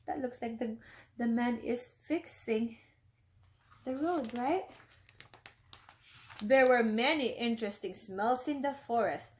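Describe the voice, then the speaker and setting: A woman with a fairly high voice reads a storybook aloud to a class of young children, taking her time over every line. She is a teacher who often stops to explain a word or ask the children what they see. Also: slow, pauses often. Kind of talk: reading a picture book aloud to children.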